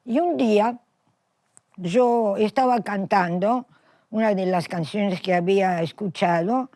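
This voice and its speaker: Slow-speaking elderly woman with an Italian accent. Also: medium pitch, a little mellow, no room sound, clean studio sound. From Macedonia. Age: 80s. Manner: reflective, self-correcting storyteller. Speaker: interviewee, Holocaust survivor. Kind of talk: recorded testimony interview.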